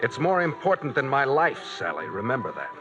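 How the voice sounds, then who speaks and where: An actor playing a morose, fearful husband haunted by guilt, brooding and pleading in old-time radio mystery style. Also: male voice, medium pitch, very animated, a little fast, music in the background, natural voice. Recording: dull vintage radio recording.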